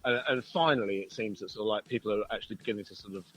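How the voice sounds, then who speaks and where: A man's voice: on the low side, racing, a bit bright, a little hiss or hum, rough recording. A man, a musician being interviewed by phone on a headset, chatting casually in a jokey, rambling way. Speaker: band musician, interview guest. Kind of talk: casual chat. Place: outdoors, outside a pub. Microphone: phone call through Bluetooth headset.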